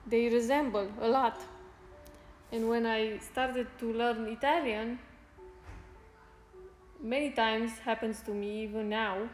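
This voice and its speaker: A woman whose first language is Spanish, chatting casually with viewers on a live stream, unhurried and pausing to search for words. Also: fairly high pitch. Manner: unhurried, pauses to search for words, light laughs. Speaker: fitness streamer. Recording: roomy echo with faint music.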